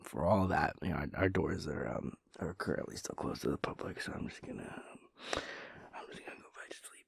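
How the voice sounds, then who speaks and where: Gravelly, breathy, drowsy boyfriend played by a man. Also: a bass voice, animated, in a whisper, heavily acted. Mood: drowsy rambling. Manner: halting, mumbling, playfully pompous wordy rambling. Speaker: audio roleplay performer. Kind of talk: intimate audio roleplay, half-whispered comic monologue.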